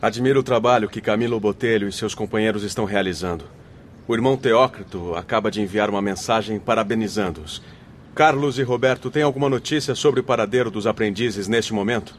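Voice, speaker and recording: A man's voice: on the low side, brisk, slight background noise, close to an everyday voice. A Brazilian man playing a courteous, dignified spirit mentor in a radio drama, speaking formally. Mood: courteous, interested.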